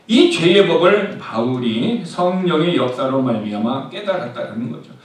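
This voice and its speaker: A man preaching a sermon as pastor, often asking the congregation a question and then answering it himself. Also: fairly high pitch. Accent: Korean. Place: church sanctuary. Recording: very echoey church hall, mic at a distance.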